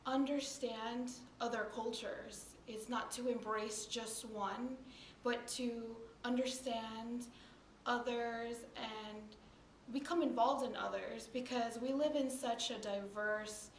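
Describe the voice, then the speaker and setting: A woman's voice: a little high, reverberant, a little hiss or hum, poor audio. A young woman answering interview questions in an earnest, emphatic way.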